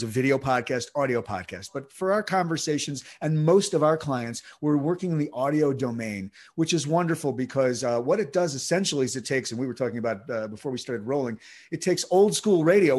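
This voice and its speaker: A man being interviewed, explaining his work in measured, expansive sentences with frequent fillers. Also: medium pitch, lively intonation, a little mellow. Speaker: podcast and audiobook producer. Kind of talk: interview guest, conversational explaining.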